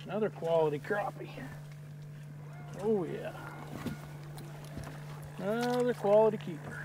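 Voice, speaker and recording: A man's voice: high-pitched, unhurried, over music, rough recording. Folksy middle-aged man fishing from a boat. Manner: folksy, excited bursts while landing fish. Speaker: fishing vlogger. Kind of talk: casual fishing commentary. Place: small boat on open lake, outdoors. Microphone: wide-angle camera mounted at the boat's stern. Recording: outdoor boat recording with wind and water noise.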